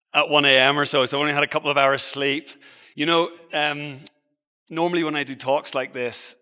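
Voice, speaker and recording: Irish male speaker, early 40s, for a conference talk: bright, forceful voice, informal and self-mocking, heard in a big echoing hall. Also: medium pitch, smooth. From Ireland. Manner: informal, self-deprecating, conversational. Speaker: travel blogger and guest speaker. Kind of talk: motivational conference talk, personal storytelling. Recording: reverberant hall, long echo.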